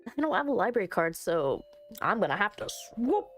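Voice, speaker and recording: A woman chatting expressively and thinking out loud as she plays through a game and reads its text. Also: medium pitch, sing-song, moderate pace. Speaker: game streamer. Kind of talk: casual let's-play commentary, reading game text aloud. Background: background music.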